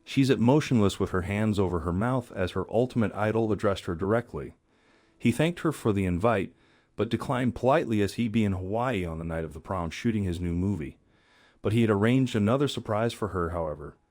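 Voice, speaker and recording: A man's voice: lowish voice, expressive, a little fast, darkish tone, no room sound. A man reading scripted story narration in a dramatic storyteller manner.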